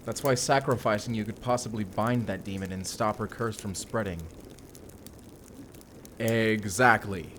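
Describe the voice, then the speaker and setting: Male, actor as resolute monster hunter for audio drama; lowish voice, earnest and measured, noisy ambience behind. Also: fairly bright tone. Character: determined Spanish vampire slayer, adult man, earnest protector. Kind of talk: cinematic audio roleplay acting.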